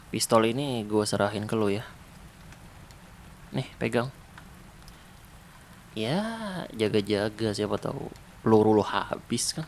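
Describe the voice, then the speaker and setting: A man voicing a protective ex-special-forces survivor in a zombie apocalypse, talking softly and calmly in an ASMR roleplay. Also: lowish voice, creaky, a little hiss or hum. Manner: soft, calm, unhurried, intimate. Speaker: roleplay voice actor.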